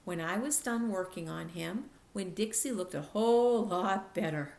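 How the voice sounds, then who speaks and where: A woman reading a children's chapter book aloud expressively, with careful, deliberate storytelling delivery. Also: mid-range voice, animated, gravelly, darkish tone, echoey room, a little hiss or hum.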